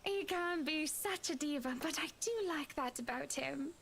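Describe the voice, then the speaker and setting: A woman's voice: sits high, moderate pace, vocal fry, fairly bright tone, slight background noise, pitched above the performer's own voice. A woman voicing a genteel, chatty wealthy wife in a visual novel, with an airy, gushing manner. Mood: gracious, chatty.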